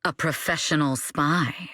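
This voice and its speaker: Low, composed, shrewd manager. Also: female voice, sharp tone, breathy edge, no room sound, exaggerated voice. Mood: coy. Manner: measured, dry, matter-of-fact. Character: shrewd, composed female manager and former spy, dryly exasperated. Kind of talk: video game voice acting.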